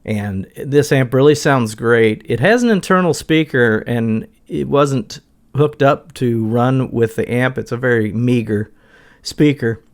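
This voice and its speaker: A man's voice: mid-range voice, lively intonation, measured pace. A middle-aged man giving a casual walkthrough as a guitar gear reviewer.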